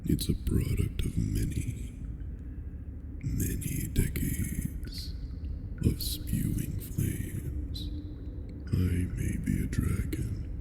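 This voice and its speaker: A performer voicing an ancient, imperious, seductive dragon master with a burned, gravelly vocal fry, in an ASMR roleplay. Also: deep voice, expressive, heavy background noise, exaggerated voice. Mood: measured, brooding. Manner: slow, commanding, condescending, teasing.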